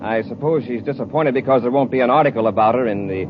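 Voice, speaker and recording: Casting: man as heroic, commanding space patrol officer for a vintage radio serial. Medium pitch, authoritative delivery, dull old-radio sound. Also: quick pace, lightly acted. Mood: firm, assured. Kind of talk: 1950s radio adventure serial acting. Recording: muffled, lightly noisy old radio recording.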